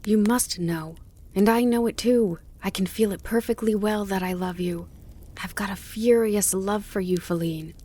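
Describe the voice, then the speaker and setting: A woman's voice: moderate pace, mellow, natural voice. A woman voicing a young male deer who speaks eagerly, pleading and then firm. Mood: ardent pleading. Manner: eager, pleading, rising pitch.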